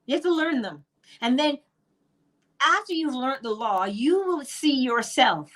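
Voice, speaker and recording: A woman's voice: sits high, animated, a little slow, a bit bright. Barbadian lady, middle-aged, preaching about spirit and law, emphatic, asks and answers her own questions. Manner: emphatic, rhetorical questions, acted quotes. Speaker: spiritual teacher. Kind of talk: monologue on manifestation.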